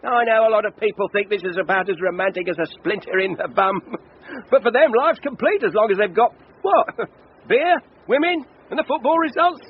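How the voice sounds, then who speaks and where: A man's voice: high voice, close to an everyday voice. A man playing a chatty, chuckling veteran British train driver in a radio drama, wry and blunt. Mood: wry, nostalgic. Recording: dull, noisy radio recording.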